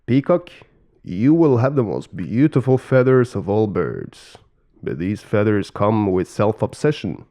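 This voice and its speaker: A man's voice: lowish voice, animated, unhurried, dark tone. A man voicing an earnest, emphatic father creator god, loving yet easily exasperated, in a storybook tale. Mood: solemn.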